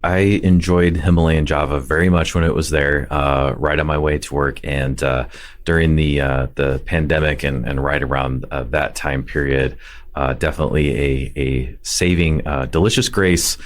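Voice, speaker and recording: A man's voice: a bass voice, steady tone, moderate pace. A man hosting a casual food podcast, chatty and enthusiastic, with many fillers. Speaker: podcast host. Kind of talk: casual interview talk.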